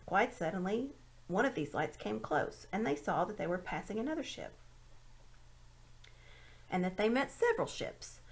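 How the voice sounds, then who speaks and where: A woman reading a children's story aloud in an expressive narrating style. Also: mid-range voice, normal speed, pauses often, breathy edge. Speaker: amateur audiobook reader.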